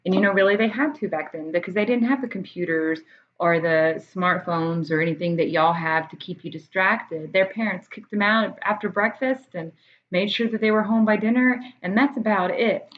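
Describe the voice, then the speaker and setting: A woman's voice: medium pitch, normal speed. An American woman chatting informally to camera like a teacher presenting books, hesitating and thinking aloud as she explains. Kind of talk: casual explanatory chat to camera. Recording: dull muffled audio, background room noise.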